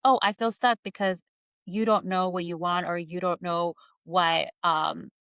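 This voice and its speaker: A woman's voice: on the low side, steady tone, a little slow, fairly bright tone, smooth, no echo, studio quality. A woman in her thirties hosting a casual coaching interview in a conversational style. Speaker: podcast host and coach.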